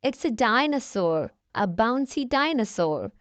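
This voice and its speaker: Woman as high, eager little girl piglet. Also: very animated, unhurried, dry recording. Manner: exclaiming, eager. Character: bright, excitable young girl piglet.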